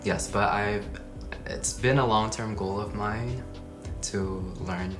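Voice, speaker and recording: A young man from China, talking in a casual interview, soft-spoken and hesitant with many pauses. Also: fairly low pitch, steady tone, music in the background. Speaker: interview guest. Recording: echoey room.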